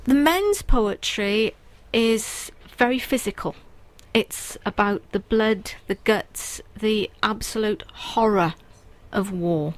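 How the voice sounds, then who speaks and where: A woman's voice: medium pitch, slow, hardly any pauses. A woman guest on a radio interview, talking earnestly and thoughtfully about poetry with frequent ums. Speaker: guest poet and writer.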